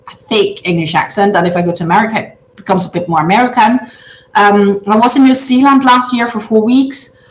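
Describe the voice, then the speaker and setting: A woman in her thirties from Denmark with a Danish accent, a native Danish speaker, hosting a casual livestream from her big living room and chatting with viewers in a halting way with lots of filler sounds. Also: mid-range voice. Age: 30s. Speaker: livestream host. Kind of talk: casual livestream chat, reading out viewer comments. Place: large open-plan living room at home. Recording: roomy echo.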